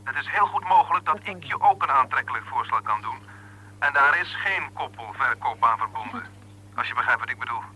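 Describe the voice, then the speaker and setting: An actor playing a brisk, scheming brother-in-law, heard through a telephone filter in an old Dutch radio play. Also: male voice, medium pitch, lively intonation, pushed and bright, breathy edge, heavily acted, poor audio. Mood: businesslike.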